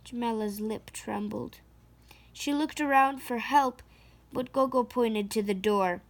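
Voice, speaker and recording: Female storyteller, fairly high voice, very unhurried, emphatic children's read-aloud. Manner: slow, deliberate, with emphatic phrasing. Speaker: storyteller reading a picture book aloud.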